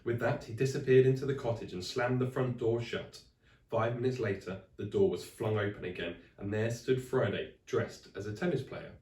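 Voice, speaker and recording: A man reading a children's story aloud in expressive narration, like a teacher to a class. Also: mid-range voice, moderate pace, far from the mic. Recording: echoey room, away from the mic.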